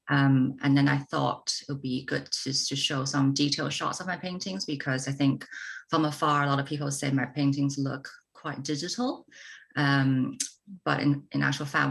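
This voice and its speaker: A woman from China, an artist guest speaking in a thoughtful panel conversation with many pauses and ums. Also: low-pitched, creaky, a bit bright.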